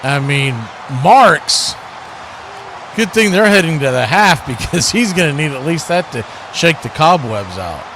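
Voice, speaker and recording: An American man giving live play-by-play football commentary in a folksy, animated way, full of homespun exclamations and bursting into loud calls. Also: a little high, measured pace, background noise. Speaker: play-by-play sports commentator on a radio watch party.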